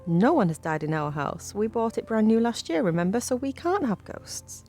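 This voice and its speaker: Concerned mum in a horror story, acted by a female narrator: coaxing, incredulous, pitch raised. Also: sing-song, normal speed, a little mellow, soft background music. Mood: dismissive, reassuring. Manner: coaxing, questioning. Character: concerned mother of a small boy, coaxing and incredulous.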